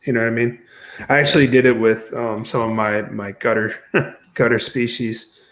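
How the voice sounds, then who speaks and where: Mid-pitched man in casual podcast chat. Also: level tone, normal speed, a bit bright. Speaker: podcast co-host.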